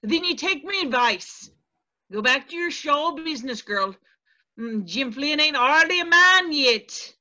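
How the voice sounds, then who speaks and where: Woman playing a high, bright, crotchety landlady. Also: lively intonation, measured pace, exaggerated voice. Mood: nosy, pushy. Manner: scolding, folksy old-fashioned dialect. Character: crotchety, menacing, coy middle-aged Irish-American landlady. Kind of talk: stage play table read. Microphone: video call. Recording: dull video-call audio.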